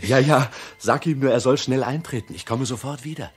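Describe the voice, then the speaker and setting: An actor playing a simple, eager country bumpkin, naive and enthusiastic, in a 1960s radio play. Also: male voice, mid-range voice, a little fast, husky, soft background music, lightly acted. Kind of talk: 1960s German radio drama acting.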